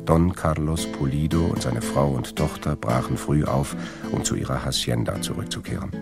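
A man with a gravelly voice narrating a scripted story as part of a radio drama. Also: rumbling low, moderate pace. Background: plucked guitar music.

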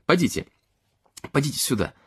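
A man voicing a small melancholy gentleman in a literary story, shy and eccentric, speaking hesitantly and confidingly with distinct words. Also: mid-range voice, sing-song, moderate pace, breathy edge, no echo, clean studio sound, voice pushed up, full character voice. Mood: wistful, hesitant.

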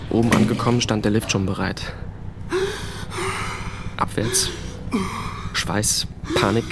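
Low-voiced, hesitant teenage boy. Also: fairly even intonation, slow pace, gravelly, very noisy. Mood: tense recounting. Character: sullen, insecure fourteen-year-old boy, younger brother of a soldier. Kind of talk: naturalistic radio drama, dialogue and narration.

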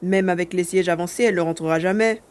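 A woman's voice: on the low side, fast talker, darkish tone, close to an everyday voice. A woman playing an eager, stubborn young boy in a dramatised audiobook, speaking expressively and earnestly. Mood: doubtful. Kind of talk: dramatised audiobook, first-person narration and dialogue.

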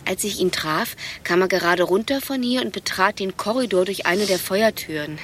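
An actress playing a guarded, matter-of-fact, quietly needling secretary in a satirical radio play. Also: female voice, mid-range voice, moderate pace, a little hiss or hum, close to an everyday voice. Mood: pointed.

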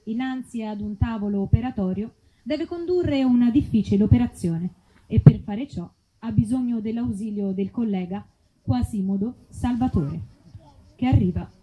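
Woman doing deadpan fake ads and narration, mellow highish voice, bit of background noise. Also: moderate pace, muffled recording. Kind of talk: mock advertisement and dramatic sketch narration.

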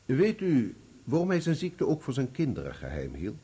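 Husky, probing courtroom examiner. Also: male voice, mid-range voice, huge pitch swings, warm tone. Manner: formal, deliberate questioning. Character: formal, probing male courtroom examiner questioning a witness. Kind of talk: Dutch radio play acting.

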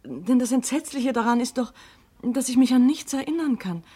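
An actress playing a distressed, pleading wife in an old German radio crime play. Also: female voice, high-pitched, expressive, normal speed, dark tone, slightly rough.

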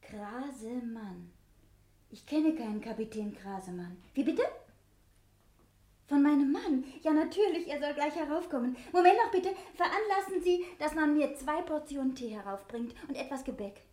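An actress playing an anxious, tender and well-meaning wife in a sixties radio drama, earnest and pleading. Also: female voice, high voice, animated, moderate pace, a little mellow. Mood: polite. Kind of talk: 1960s German radio drama acting.

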